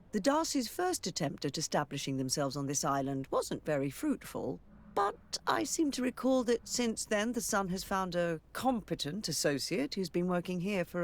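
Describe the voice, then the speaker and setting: An actress playing a discreet, formally polite noblewoman in a video game. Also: fairly low pitch. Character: courteous, discreet well-informed noblewoman. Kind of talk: dramatic video game dialogue.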